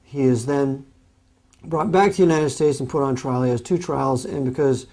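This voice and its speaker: A man's voice: mid-range voice, normal speed, darkish tone. A man giving an unscripted historical account, matter-of-fact and step by step. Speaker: commentator on history.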